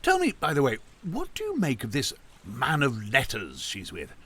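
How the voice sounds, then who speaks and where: An actor playing a genial, wistful older uncle in an audio drama, with drawn-out, avuncular, old-fashioned phrasing. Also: male voice, mid-range voice, wildly swooping pitch, a bit bright, breathy edge, full character voice. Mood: wistful, musing. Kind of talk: theatrical audio drama acting.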